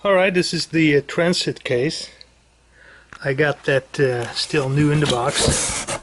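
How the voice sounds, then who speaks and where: A man giving a casual, unscripted hands-on equipment demonstration, halting and matter-of-fact as he goes. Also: fairly high pitch, fairly bright tone. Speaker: radio hobbyist demonstrating equipment. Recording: faint background noise.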